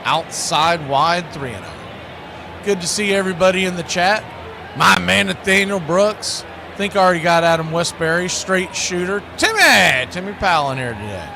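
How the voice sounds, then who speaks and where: A man's voice: sits high, expressive, moderate pace, vocal fry, noisy background. A man doing live baseball play-by-play in a folksy style, fond of nicknames and catchphrases. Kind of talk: live sports commentary.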